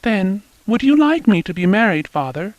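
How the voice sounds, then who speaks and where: A man voicing a gentle, loving young woman who speaks earnestly and tenderly to her father. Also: high-pitched, very animated, moderate pace, no room sound, voice pushed up, rough recording. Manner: tender, earnest, a little tremulous.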